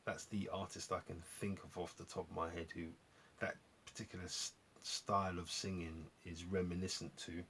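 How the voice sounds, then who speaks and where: A man hosting a vlog, chatting casually and appreciatively in a rambling, gentlemanly way as they review records. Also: deep voice, flat delivery, moderate pace, a little hiss or hum. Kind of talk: casual album review chat to viewers.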